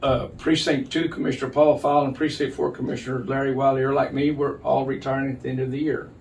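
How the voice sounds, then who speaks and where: Older man, halting, slightly distant mic. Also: mid-range voice, flat delivery, a little mellow. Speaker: county judge, interview guest. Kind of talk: explanatory interview answers. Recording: distant mic, noticeable background noise.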